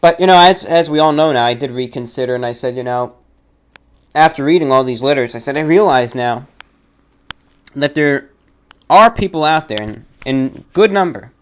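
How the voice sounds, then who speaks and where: Male host, medium pitch, casual mail-reading and commentary, halting and deliberate delivery with repeated phrases. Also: smooth. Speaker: host of a listener-mail show.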